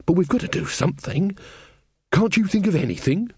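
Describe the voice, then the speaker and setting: A man's voice: sits high, expressive, brisk, husky, no echo, studio quality, pitched above the performer's own voice, exaggerated voice. A man voicing an old village witch woman, earthy and blunt, with folksy dialect grammar, in a storybook reading. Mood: firm, concerned.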